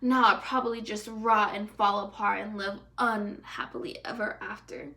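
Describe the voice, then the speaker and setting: A woman's voice: medium pitch, steady tone, moderate pace, vocal fry, pushed and bright. A woman voicing a frustrated, self-pitying prince from a storybook, in a plaintive, indignant manner. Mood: self-pitying, despairing. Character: frog prince, whiny frustrated self-pitying young man.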